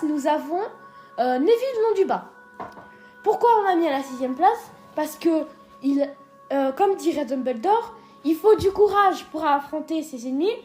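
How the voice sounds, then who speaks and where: High-voiced playful woman. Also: darkish tone. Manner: playful, teasing, hesitant fillers. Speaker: co-host of a ranking video. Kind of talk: casual scripted chat.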